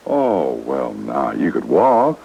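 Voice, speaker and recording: A man's voice: very deep voice, wildly swooping pitch, slow pace, vocal fry, warm tone, heavily acted. An actor playing a drily mocking, middle-aged stepfather in old radio suspense style. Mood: needling. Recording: muffled, noisy old radio broadcast.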